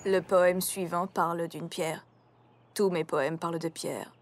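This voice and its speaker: A woman voicing an impassive, literal-minded young female pony in a French cartoon dub, with a deadpan monotone delivery. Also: lowish voice, measured pace, noisy background, lightly acted. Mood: flat, impassive.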